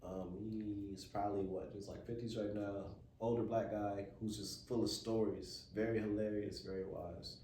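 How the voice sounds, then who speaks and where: An American man telling stories casually on a podcast in a laid-back, slangy, halting way. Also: fairly low pitch, little pitch movement, warm tone. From born in Memphis, USA. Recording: roomy, distant mic, faint background noise.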